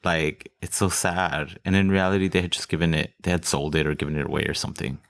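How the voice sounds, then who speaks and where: A man's voice: low voice, fairly even intonation, fast. A man from Mexico co-hosting a podcast in casual chat, rambling through asides and laughing easily. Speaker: podcast co-host.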